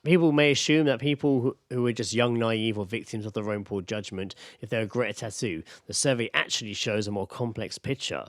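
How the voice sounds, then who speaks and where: A man's voice: on the low side, brisk, few pauses, dry recording, clean studio sound. An English man co-hosting a podcast, bantering casually about the news in a teasing, colloquial and sweary way. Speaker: podcast co-host. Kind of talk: casual comic chat, commenting on news.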